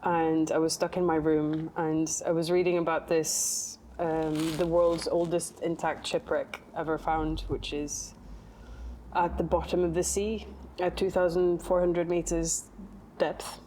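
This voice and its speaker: Woman, from Norway, artist, flat delivery, slow, faint background hum. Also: low voice, poor audio. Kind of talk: interview answers.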